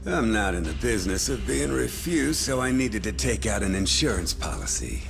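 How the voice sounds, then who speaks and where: A man's voice: fairly low pitch, very animated, moderate pace, vocal fry, fairly bright tone, heavily acted. A man playing a cocky, menacing gangster boss in a video game, crude and mocking in a swaggering, taunting drawl. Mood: smug, menacing. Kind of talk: video game cutscene acting. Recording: dramatic music under the dialogue.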